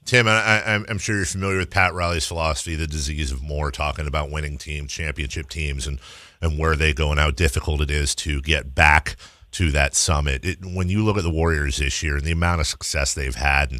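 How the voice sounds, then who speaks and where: A man's voice: very deep voice, fairly even intonation, brisk, pushed and bright, dry recording, clean studio sound. An American man hosting a sports radio show, interviewing in a conversational way.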